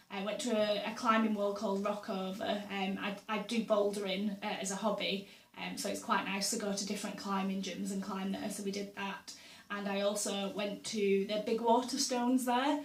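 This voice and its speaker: A young woman vlogging casually about books and her week, slightly hoarse from a sore throat, with lots of ums and small laughs. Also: medium pitch, slight background noise. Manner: chatty, with frequent ums and uhs and little laughs. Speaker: book vlogger. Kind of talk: casual chat to camera. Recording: roomy echo, voice a bit far from the mic.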